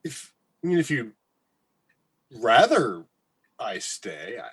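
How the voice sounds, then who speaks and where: Casting: male actor for a sarcastic, sheepish radio co-worker, husky, slow hesitant delivery, comedy radio drama. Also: medium pitch, big pitch swings, heavily acted. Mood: nervous, hesitant. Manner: halting, hesitant, stammering.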